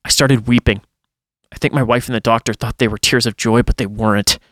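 A man's voice: mid-range voice, steady tone, a little fast, a bit bright, no echo, studio quality. A man reading a first-person horror story aloud in a dramatic storytelling style, as a podcast host would.

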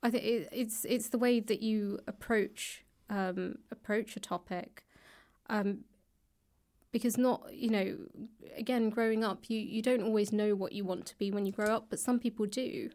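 A woman's voice: medium pitch, fairly even intonation, moderate pace, darkish tone. Thoughtful woman explaining in conversation. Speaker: interview guest, author and actor. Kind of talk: conversational interview answers.

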